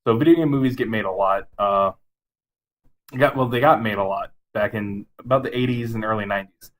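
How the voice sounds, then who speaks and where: A man's voice: on the low side, a little fast, rough recording. A man co-hosting a podcast in casual chat about movies, often chuckling as he talks. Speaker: podcast co-host.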